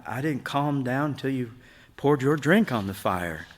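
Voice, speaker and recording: A man's voice: mid-range voice, animated, measured pace, pitched above the performer's own voice. A man reading a story aloud, voicing a grieving, moody young son with emphatic, exasperated delivery. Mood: recalling, excited. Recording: long hall reverb of a stage.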